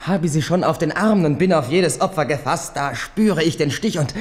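An actor in a German radio drama playing a wounded, gallant, rueful courtier, plaintive and theatrical. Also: male voice, on the high side, normal speed, natural voice. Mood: pained, ashamed. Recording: long reverberant hall echo.

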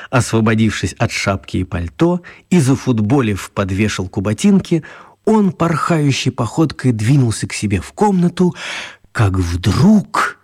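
A man narrating a children's radio story expressively, with theatrical emphasis and drawn-out words. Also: lowish voice, a little mellow, slightly rough.

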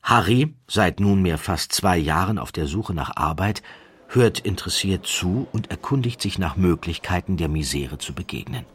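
A man reading a literary story as a narrator, measured, expressive and slightly wry. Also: deep voice, slightly rough. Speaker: audiobook narrator.

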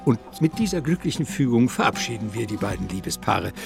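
A man's voice: medium pitch, very animated, brisk, vocal fry. A man reading scripted narration for a radio drama, setting out the action in the present tense. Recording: loud music behind the voice.

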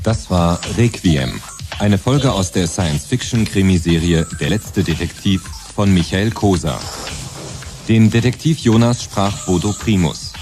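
A man's voice: deep voice, a little slow. A man reading radio drama credits as a formal scripted broadcast announcement. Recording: music behind the voice.

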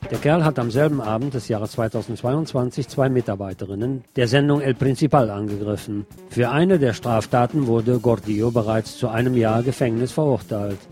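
A man's voice: mid-range voice, darkish tone. A man presenting scripted news as a commentator, with a sardonic, ironic manner. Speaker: presenter of a local news programme.

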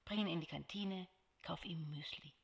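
A woman's voice: fairly low pitch, normal speed, gravelly, breathy edge, heavily acted. An actress playing a strict, bureaucratic female superior at a security agency in an audio drama. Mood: stern, official. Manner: bureaucratic, authoritative. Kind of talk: German audio drama acting.

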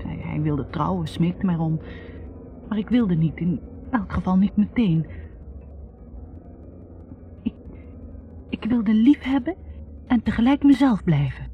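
An actress playing a candid, intense young student who analyses herself haltingly, in a confessional 1960s radio drama monologue. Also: female voice, medium pitch, lightly acted. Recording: muffled, noisy 1960s radio recording.

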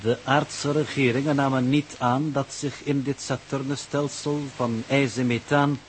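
An actor playing a formal, pedantic, coolly authoritative mission coordinator in 1960s radio drama style, speaking in measured, official phrases. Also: male voice, mid-range voice, level tone, a little hiss or hum, lightly acted. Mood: measured, explanatory.

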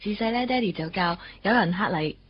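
A woman's voice: mid-range voice, animated, brisk, slightly rough, muffled recording. An actress with a Hong Kong Cantonese accent playing a breezy, sociable young girlfriend in a radio drama, chatty, sprinkling in English words.

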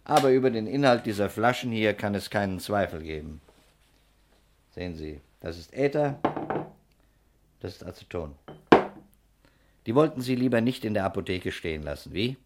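An actor playing a shrewd, authoritative investigator in a 1960s German radio crime drama, speaking briskly and methodically. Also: male voice, on the low side, animated, heavy background noise, lightly acted. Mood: accusing, relentless. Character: shrewd, commanding male private investigator, methodically accusing.

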